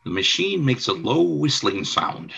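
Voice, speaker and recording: A man's voice: mid-range voice, very animated, measured pace, creaky, sharp tone, husky, dull audio. A man reading scripted scene narration for a radio play. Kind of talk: scripted narration of stage directions.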